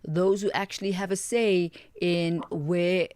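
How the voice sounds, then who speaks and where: A woman's voice: on the low side, fairly even intonation, a little slow, darkish tone, clean tone, no room sound. A woman hosting a formal radio interview in a polite manner.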